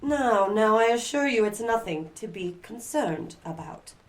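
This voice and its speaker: A woman's voice: on the high side, animated, unhurried. A woman playing a wealthy middle-aged society mother in an audio drama, formal, genteel and condescending. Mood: dismissive, embarrassed. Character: wealthy, haughty society mother, dismissive and proper.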